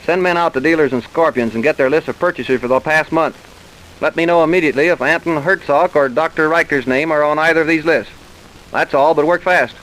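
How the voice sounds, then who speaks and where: A man playing a thorough, insistent police inspector in an old radio drama, questioning people briskly. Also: on the high side, a bit bright, natural voice, poor audio. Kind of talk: 1940s radio drama acting. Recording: hissy old radio recording.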